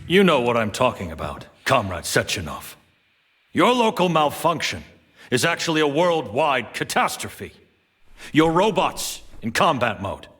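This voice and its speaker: A stern, accusing official. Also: male voice, medium pitch, very animated, a little slow, a bit bright, slightly rough, faint music behind, full character voice. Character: stern male high-ranking official, accusing. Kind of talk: video game cutscene.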